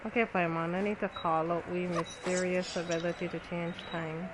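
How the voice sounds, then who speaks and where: A woman's voice: fairly low pitch, little pitch movement, moderate pace. A woman hosting a gaming channel, reacting to a game with blunt, offhand quips. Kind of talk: casual reaction commentary. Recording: background noise.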